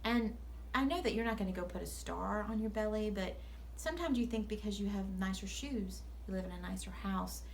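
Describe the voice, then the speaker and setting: A woman's voice: mid-range voice, a little fast, gravelly, a little hiss or hum. A woman teacher speaking to children at story time in an earnest, instructive way.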